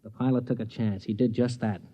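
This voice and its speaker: Man acting mellow American rocket pilot husband, old radio. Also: on the low side, fairly even intonation, fairly quick, natural voice. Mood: earnest, explanatory. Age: adult. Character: devoted rocket pilot husband, teasing, stubborn about his career. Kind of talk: 1950s radio drama acting. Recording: muffled vintage 1950s radio recording.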